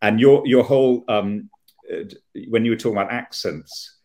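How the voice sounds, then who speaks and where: A man raised in Kenya with a posh Southern English accent, talking thoughtfully as a guest with many halting restarts. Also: on the low side, darkish tone. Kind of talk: conversational interview.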